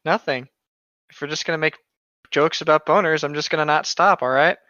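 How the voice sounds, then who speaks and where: Male co-reader with a sharp, bright voice on a muffled lo-fi recording, crude comedic asides. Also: on the high side, a little fast, no room sound. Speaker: co-host of a comedic story reading. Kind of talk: comedic read-aloud with joking asides.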